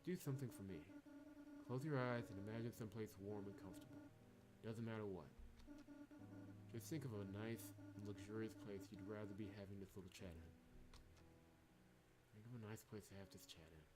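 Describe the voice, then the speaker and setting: A man's voice: lowish voice, normal speed, long pauses, natural voice, low-quality recording. A man reading a cold, cryptic young woman from a video game in a curt, aloof manner. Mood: quietly insistent. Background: game music behind the voice.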